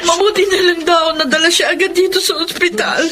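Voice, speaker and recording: A woman's voice: very high voice, fairly even intonation, a little fast, pushed and bright. A woman playing a worried, grateful wife in a Tagalog radio drama, emotional and pleading. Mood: anxious.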